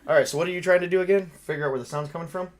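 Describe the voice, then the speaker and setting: A man's voice: on the high side, rapid-fire. A man running a tabletop roleplaying game, narrating and joking casually with the other player.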